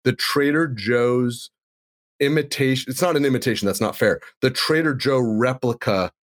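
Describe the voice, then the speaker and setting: A man's voice: mid-range voice, moderate pace. Playful, enthusiastic podcast host man. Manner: enthusiastic, playful, rambling asides. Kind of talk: casual chat.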